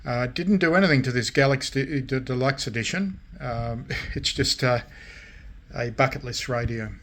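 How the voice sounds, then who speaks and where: A man presenting his hobby restorations in a casual show-and-tell, speaking haltingly with frequent pauses between short phrases. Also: medium pitch, a bit bright. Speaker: radio restoration hobbyist and vlogger.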